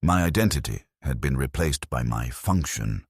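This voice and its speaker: A man with a very deep, husky voice narrates a first-person story in a grave, measured way. His pitch moves a lot, giving the telling a lively rise and fall. The sound is dry and close. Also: dry recording.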